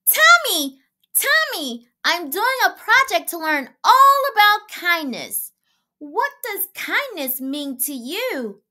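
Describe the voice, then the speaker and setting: Woman as an eager, very high-pitched little girl. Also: slow pace, full character voice. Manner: sing-song, excited, calling out. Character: eager, sweet, inquisitive young schoolgirl.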